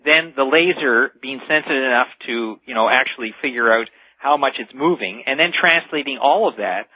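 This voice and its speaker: A man's voice: medium pitch, normal speed, sharp tone, husky, no room sound. A man speaking as an expert radio guest, explaining technology informally in dull, lo-fi interview audio.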